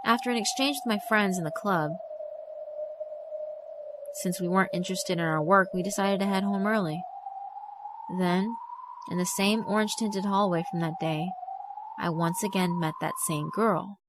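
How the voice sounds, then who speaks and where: A woman's voice: medium pitch, normal speed, long pauses, background noise. A woman reading scripted first-person story narration for a visual novel. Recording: music behind the voice. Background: mallet and glockenspiel music.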